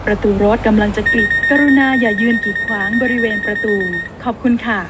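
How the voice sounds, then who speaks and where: A woman giving scripted train door-closing announcements in a formal, measured manner. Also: medium pitch, level tone. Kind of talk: scripted public transit announcement. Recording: train announcement buried in loud noise. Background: door alarm and train noise.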